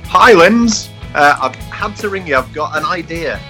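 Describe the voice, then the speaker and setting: A man acting out a scripted comic phone-call advert, pitching a product excitedly. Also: unhurried, over loud music.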